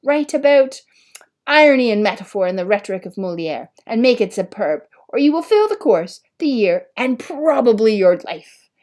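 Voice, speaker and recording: Woman as male professor, exasperated, cutting, measured, demanding, storybook character. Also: on the high side, big pitch swings, darkish tone.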